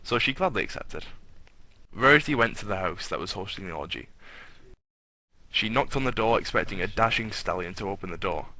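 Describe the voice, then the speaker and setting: Low, bright-voiced man reading stories aloud. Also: lively intonation, fairly quick, no echo. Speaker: narrator and host of a comic fan fiction reading. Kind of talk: dramatic comic story reading.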